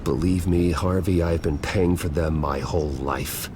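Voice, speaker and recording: An actor playing a brooding, determined wealthy vigilante, speaking in a terse, grave, controlled way in a video game drama. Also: male voice, low voice, fairly even intonation, a little slow, background noise. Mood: tense, reproachful.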